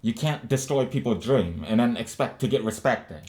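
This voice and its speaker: A man's voice: mid-range voice, animated, normal speed, a little mellow. A man co-hosting a casual podcast discussion, rambling with many restarts and fillers. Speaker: podcast co-host.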